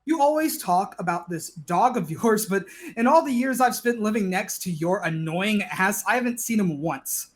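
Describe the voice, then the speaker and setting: A man's voice: high-pitched, lively intonation, normal speed, hardly any pauses. A man acting an exasperated, fussy neighbor, complaining and sarcastic, in a comedic play. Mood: annoyed, accusing.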